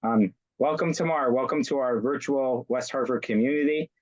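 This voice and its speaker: A man hosting an online community interview, speaking with many pauses and fillers. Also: mid-range voice, lo-fi sound. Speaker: rabbi, host. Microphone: video call.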